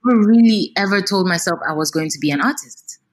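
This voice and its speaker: A woman's voice: mid-range voice, quick pace. A Nigerian woman in her thirties, a singer talking expressively and animatedly in a casual interview. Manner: expressive, animated, repeats words while thinking.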